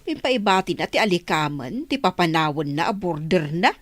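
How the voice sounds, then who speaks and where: A woman's voice: on the low side, lively intonation, normal speed. A woman playing a concerned village mother and grandmother in a radio drama, speaking. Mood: worried.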